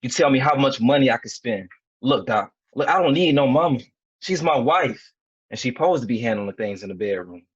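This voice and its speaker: A man's voice: mid-range voice, animated, brisk, slightly rough, dull audio. A man playing a defensive, frustrated young husband in a dramatic table read, arguing in a colloquial way. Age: young adult. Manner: argumentative, colloquial.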